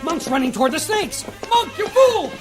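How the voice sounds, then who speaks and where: High, sharp-tongued adventurer. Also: male voice, sing-song, moderate pace, music in the background. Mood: alarmed. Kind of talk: old-time radio adventure acting.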